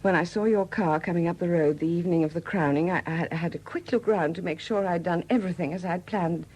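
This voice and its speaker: An actress in a 1970s British radio drama playing a widowed murderess who confesses in a hesitant, confiding manner. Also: female voice, lowish voice, fairly quick, a little mellow, lightly acted, poor audio. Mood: weary confession. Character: quiet confessing murderess, a Welsh sculptor's widow.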